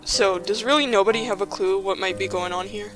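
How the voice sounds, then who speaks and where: A woman playing a calm, practical, reassuring young group leader in an audio drama, with steady delivery. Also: medium pitch, a little fast, soft background music, close to an everyday voice.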